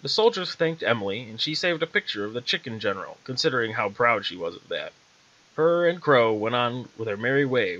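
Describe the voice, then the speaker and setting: Bright-voiced man telling a story. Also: medium pitch, highs cut off. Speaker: storyteller, author. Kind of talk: reading his own short story aloud.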